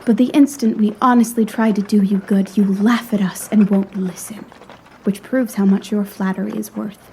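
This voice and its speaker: Woman acting young lady, period audio drama, mellow tone, refined, frank, earnest, proud, faint background noise. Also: mid-range voice, fairly even intonation, moderate pace, close to an everyday voice, rough recording. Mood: reproachful. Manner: refined, articulate, reproachful, earnest.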